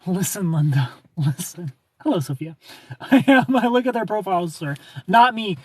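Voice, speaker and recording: A man's voice: high voice, animated, normal speed, darkish tone, breathy edge. An American man hosting a live stream, casually reading and replying to comments in a joking, playful, informal way.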